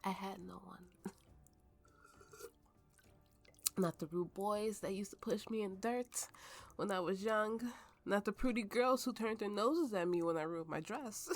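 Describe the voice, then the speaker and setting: A woman playing a tipsy, haunted woman with magical powers, telling her story slowly and haltingly in an intimate ASMR roleplay over music. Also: medium pitch. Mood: bitter, lonely. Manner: halting, drunken rambling with frequent laughs. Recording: voice over soft background music.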